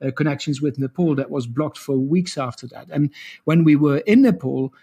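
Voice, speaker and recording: A middle-aged man with a deeper voice, a guest telling stories in a casual interview. He talks quickly, restarts his sentences often and laughs between stories. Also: mellow, breathy edge. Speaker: guest, co-founder of a training program.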